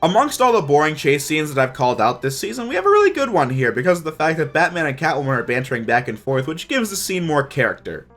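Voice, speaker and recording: Young male reviewer, higher register, animated delivery, opinionated casual narration over faint music. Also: fast talker, hardly any pauses. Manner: opinionated, informal. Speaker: video reviewer.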